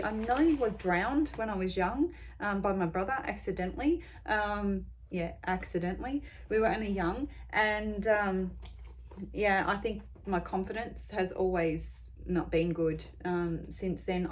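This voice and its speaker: Slow, unhurried Australian woman in her forties. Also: medium pitch. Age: 40s. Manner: rambling, many ums and pauses. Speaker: beauty vlogger. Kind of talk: casual question-tag chat.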